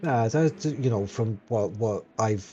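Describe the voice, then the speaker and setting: A man's voice: on the low side, unhurried, mellow, lo-fi sound, faint music behind. A man co-commentating on a sim race in a casual, rambling, self-mocking way over an online call.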